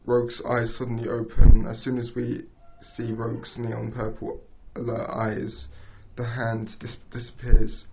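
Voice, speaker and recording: A man narrating stage directions at an amateur script table read, halting and stumbling over words. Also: medium pitch, completely flat delivery, gravelly, slight background noise. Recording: dull lo-fi recording.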